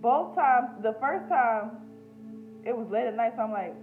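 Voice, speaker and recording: A woman's voice: a little high, animated, a little slow, narrow, tinny audio, soft background music. An American woman talking off the cuff in a personal vlog, candid, emotional and slangy, with plenty of swearing. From United States. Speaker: YouTube spiritual healer and host. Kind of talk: casual, unscripted personal vlog.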